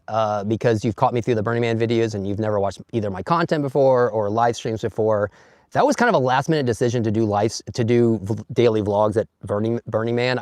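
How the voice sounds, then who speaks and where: A man with a slightly hoarse, mid-pitched voice chats casually through his phone from a sunny campsite outdoors, greeting viewers by name and laughing easily. The sound is close and dry, with no room echo at all. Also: a little mellow. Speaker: vlogger. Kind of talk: casual live stream chat, reading comments. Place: outdoors at a campsite in bright sun.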